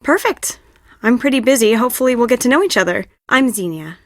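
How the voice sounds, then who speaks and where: A young woman who directs plays, stressed and theatrical, in adventure game dialogue. Her voice sits fairly high and is recorded very dry. She speaks expressively, as if confiding in the listener. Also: animated, brisk, no room sound. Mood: brisk, friendly. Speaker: video game voice actor.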